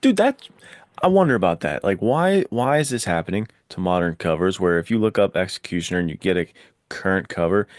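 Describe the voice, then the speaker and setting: Deep-voiced, slangy man chatting casually. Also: fairly quick, dry recording. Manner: slangy, excitable. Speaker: podcast host or panelist. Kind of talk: casual enthusiastic book chat.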